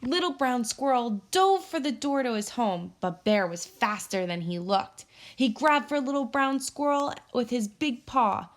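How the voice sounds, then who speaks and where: A woman's voice: fairly high pitch, animated, unhurried. Woman reading a children's story aloud. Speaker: teacher reading aloud to pupils.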